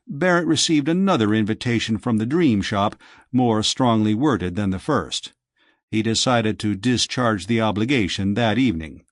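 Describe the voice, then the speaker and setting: Expressive male audiobook narrator, dry sound. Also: medium pitch, dry recording. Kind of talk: scripted narration of a science fiction novel with acted dialogue.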